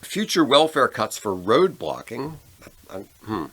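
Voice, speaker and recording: A man's voice: mid-range voice, very animated, unhurried, fairly bright tone, husky. An American man co-hosting a podcast, talking casually about politics in an opinionated, conversational way. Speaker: podcast co-host.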